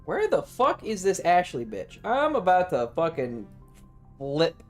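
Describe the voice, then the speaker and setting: A man's voice: sits high, huge pitch swings, unhurried. A man live streaming a game, chatting casually in a rambling, sweary way. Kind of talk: casual gaming stream chat. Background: game music.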